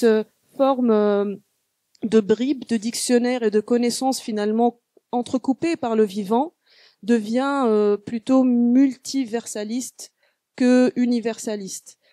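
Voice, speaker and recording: Halting woman. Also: a little high, fairly even intonation, warm tone, no echo, studio quality. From Tunisia. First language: Arabic. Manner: halting, full of hesitations and restarts. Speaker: guest artist. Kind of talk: reflective panel talk.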